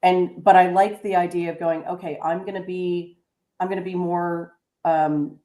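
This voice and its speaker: A woman hosting a radio talk show, chatty and halting, with many restarts. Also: fairly low pitch, little pitch movement, dark tone, reverberant. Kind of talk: casual radio chat.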